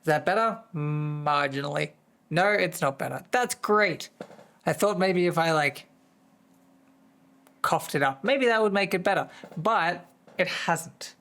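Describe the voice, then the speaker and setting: A streamer with a voice that is going hoarse, chatting casually to viewers in a distracted, rambling way. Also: medium pitch, unhurried, fairly bright tone. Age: adult, working full-time. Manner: easily distracted, rambling with many restarts. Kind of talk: casual live-stream chat.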